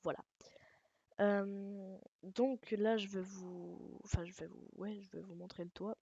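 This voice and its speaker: A woman's voice: mid-range voice, level tone, unhurried, creaky, husky, dry recording, dull audio. A woman with a light Alsatian accent giving a rambling, casual game tutorial, swearing and making self-mocking jokes. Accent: Alsatian French. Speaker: gaming channel host. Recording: loud background noise.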